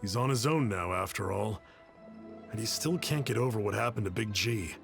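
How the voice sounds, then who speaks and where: A man voicing a guarded, reserved young man in a game, speaking in a measured way. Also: fairly low pitch, creaky, fairly bright tone, soft background music. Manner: wary, measured. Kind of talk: game voice acting.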